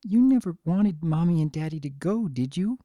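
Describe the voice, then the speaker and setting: Man putting on small filly, high pitch, hesitant, whimpering, sad, storybook pony. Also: expressive, normal speed, dark tone, no room sound, clean studio sound, close to an everyday voice. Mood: quiet, anxious. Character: timid, sad orphaned little filly unicorn. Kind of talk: dramatic fan fiction reading.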